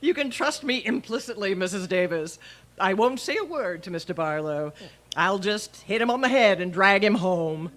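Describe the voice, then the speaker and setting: A woman's voice: mid-range voice, expressive, moderate pace, fairly bright tone, lightly acted. A woman playing a wry English teacher with dry, sardonic quips in a radio comedy. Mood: dryly amused. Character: wry, wisecracking female high school English teacher. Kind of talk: old-time radio comedy acting.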